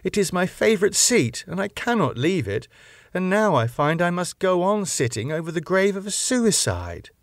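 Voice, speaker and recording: Man putting on a plaintive genteel young lady, raised pitch. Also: very animated, moderate pace, no room sound, studio quality. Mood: distressed. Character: distressed young Victorian lady, genteel and sensitive. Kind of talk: audiobook character voice.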